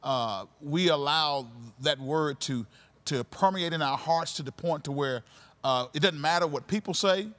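A man who is a pastor, hosting a podcast and speaking in a measured, affirming way. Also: on the high side, fairly bright tone. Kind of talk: conversational interview.

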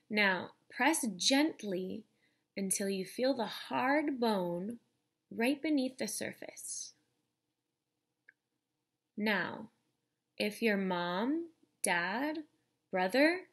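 Slow-paced woman reading to children. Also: mid-range voice, breathy edge. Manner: deliberate, expressive, addressing young listeners directly. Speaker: teacher reading aloud. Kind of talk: children's picture book read-aloud.

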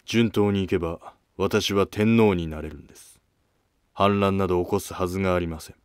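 Man acting a deep-voiced, guarded imperial prince. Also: steady tone, moderate pace, clear, resonant tone, dry recording, studio quality, natural voice. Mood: guarded, stern. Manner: formal, guarded, curt. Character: guarded, dignified imperial prince and former monk. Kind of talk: scripted historical drama dialogue.

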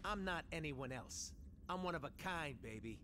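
A man's voice: on the high side, lively intonation, moderate pace, noisy background, close to an everyday voice. A man playing a brash, greedy little raccoon thief in a video game cutscene, with sarcastic, street-wise delivery. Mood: cocky, defensive.

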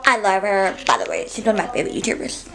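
A woman's voice: medium pitch, animated, fast talker, background noise. A young woman vlogging casually in her bedroom, talking to a handheld camera held close to her face, rambling with lots of fillers and restarts. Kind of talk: casual vlog chat to camera. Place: small bedroom, indoors.

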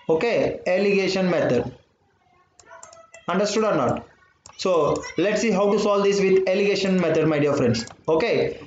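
A man with an Indian English accent teaching a live online math class. He repeats phrases and keeps asking whether his listeners understood. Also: high voice. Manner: repeats phrases, keeps saying "my dear friends" and "understood or not". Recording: dull, roomy audio with faint noise.

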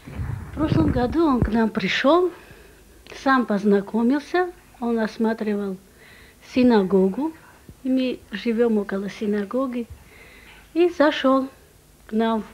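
A woman's voice: on the high side, lively intonation, unhurried, pauses often, a little mellow, poor audio. Georgian woman chatting at a family table. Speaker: vocal teacher, family member. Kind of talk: casual talk at a dinner table. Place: home dining room.

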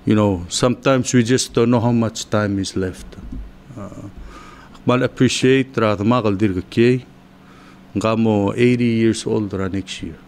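Palauan senator, 40s, gravelly and low-pitched, easygoing radio chat on a desk mic, faint hiss behind. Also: male voice, unhurried. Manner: relaxed, rambling, many fillers and chuckles. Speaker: senator on a talk show. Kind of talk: casual radio talk, mixing two languages. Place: small decorated radio studio. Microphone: desk mic on a stand.

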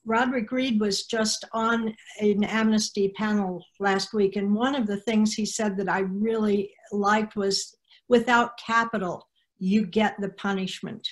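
A woman's voice: medium pitch, fairly even intonation, measured pace. An older woman speaking informally as a panelist on a video call, often searching for her words. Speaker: panelist, activist coordinator. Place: room at home, on a video call.

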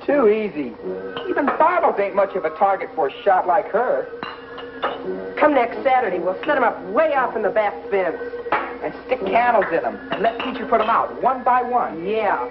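A young performer playing an eager, rough-spoken schoolboy in an old Western TV drama. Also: mid-range voice, very animated, normal speed, over music, natural voice. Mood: scheming. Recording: dull vintage TV soundtrack.

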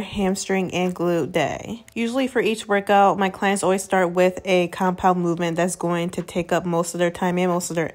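Lower-pitched woman explaining workouts. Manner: instructive, explaining step by step. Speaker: fitness coach. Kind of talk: scripted fitness voice-over.

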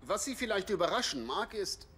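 High-voiced, animated male teacher acted by a man. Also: a little fast, husky, heavily acted. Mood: didactic. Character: male school teacher lecturing his class. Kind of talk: classroom lecture.

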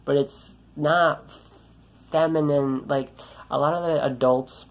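A man's voice: fairly high pitch, steady tone, takes it slowly. Young guy ranting at his phone, light teen-sounding voice, swears a lot, keeps restarting. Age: young adult. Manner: frustrated, rambling, swearing, many restarts. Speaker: vlogger. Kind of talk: ranting selfie vlog. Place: small room indoors, then outdoors on a road. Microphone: phone held at arm's length.

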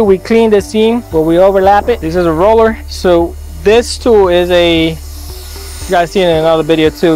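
Contractor, 30s, slightly high voice, lively and informal, recorded outdoors. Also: male voice, rough recording. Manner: energetic, informal, demonstrating with tools. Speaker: roofing company owner. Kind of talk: on-site vlog walkthrough. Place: outdoors on a residential rooftop. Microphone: lapel.

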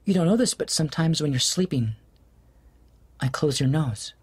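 An actor playing an anxious husband in an audio drama, stammering and swinging between shouts and whispers. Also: male voice, a little high, lively intonation, moderate pace, slightly rough. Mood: tender unsettled. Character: anxious husband losing his love, middle-aged man. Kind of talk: studio audio drama acting.

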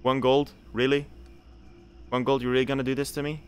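A man's voice: medium pitch, level tone, fairly quick, clear, resonant tone, soft background music. Chatty young man from Belgium streaming. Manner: chatty, repeats himself, reads game text aloud. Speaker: game streamer. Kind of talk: casual live-stream commentary.

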